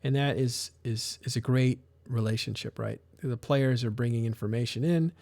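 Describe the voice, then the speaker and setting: A man's voice: medium pitch, moderate pace, creaky, mellow, dry recording. A man hosting a casual live stream, talking in a rambling way with many restarts and fillers. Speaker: live show host.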